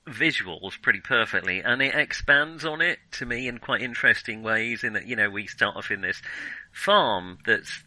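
A man's voice: mid-range voice, normal speed, bright, forceful tone, no room sound, rough recording. A man co-hosting a casual film discussion, talking hesitantly and often circling back to rephrase himself. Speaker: film discussion podcast co-host. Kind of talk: casual film review chat.

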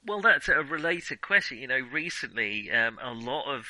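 Male, podcast co-host, sharp bright voice, dry sound, casual film chat, hesitant and rambling. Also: mid-range voice, sing-song, moderate pace, no echo, poor audio.

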